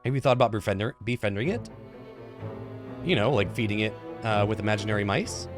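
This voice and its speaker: A man's voice: fairly low pitch, brisk, natural voice. A man voicing a weary, teasing father in a visual novel, with dry, mildly sarcastic delivery. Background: game music.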